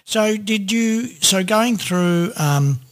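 A man's voice: high-pitched, animated, takes it slowly. A middle-aged or older man with an Australian accent, hosting a casual interview. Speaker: podcast host, interviewer.

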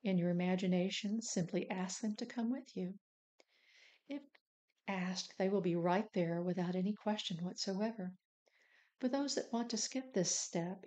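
Female meditation guide, mid-pitched, soft mellow tone, soothing and deliberate delivery.

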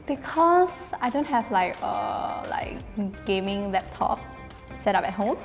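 A woman's voice: medium pitch, expressive, measured pace, gravelly. Giggly hesitant woman in her twenties. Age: 20s. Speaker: blind date contestant. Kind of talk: casual chat. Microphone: lapel. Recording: faint music and long reverb.

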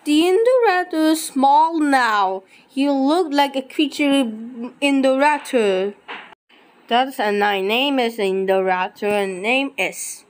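A halting, exclamatory voice doing amateur character dubbing for a homemade animation, on a very noisy homemade recording. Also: sits high, animated.

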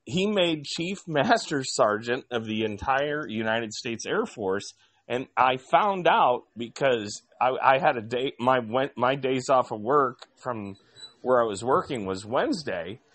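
A man from Indiana talks in a casual chat, with a voice of ordinary middle pitch. He tells long stories and often restarts his sentences. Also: animated, unhurried, a bit bright. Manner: rambling storyteller with many restarts.

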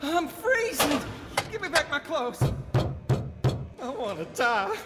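A man's voice: very high voice, very animated, full character voice. An actor playing a desperate, anguished male prisoner in an audio drama, wailing and pleading. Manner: wailing, pleading, drawn-out cries. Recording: echoing hall, loud music behind.

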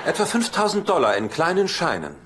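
Cocky, animated sardonic older brother. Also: male voice, a little high, a little fast. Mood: teasing. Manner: dry, mocking, teasing. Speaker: sitcom voice actor. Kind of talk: dubbed sitcom dialogue.

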